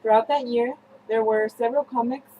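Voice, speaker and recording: A young woman presenting a scripted student newscast, reading haltingly with slips and self-corrections. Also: fairly high pitch, steady tone, lo-fi sound. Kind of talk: scripted news-style narration for a history project.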